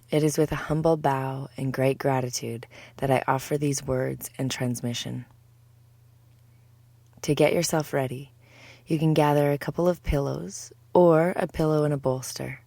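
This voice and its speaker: A woman teaching yoga nidra, narrating a guided meditation in a soothing, deliberate, measured way. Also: sits low, a little mellow, no echo.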